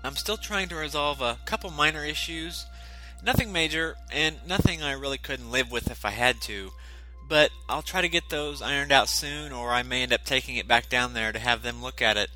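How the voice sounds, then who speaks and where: A man's voice: mid-range voice, normal speed, sharp tone, poor audio. A man hosting a podcast, talking in an earnest, apologetic way and sounding slightly congested from allergies, reading through his notes. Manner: earnest, apologetic, reads notes with filler "you know". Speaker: podcast host. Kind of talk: casual scripted podcast talk. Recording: dry close recording, faint music.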